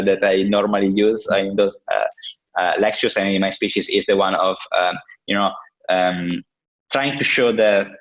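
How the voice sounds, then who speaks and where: A man in his thirties with an Italian accent and a fairly low voice, talking in a casual, halting way. He keeps reaching for words with "uh" and "you know". The sound is a bit dull and lo-fi. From Italy. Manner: hesitant, many fillers and restarts. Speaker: guest, crypto entrepreneur. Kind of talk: casual interview answers.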